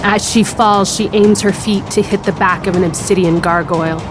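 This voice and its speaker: A woman's voice: medium pitch, steady tone, normal speed, poor audio. A woman reading scripted fantasy narration for an audio drama. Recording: prominent music bed behind narration. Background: music.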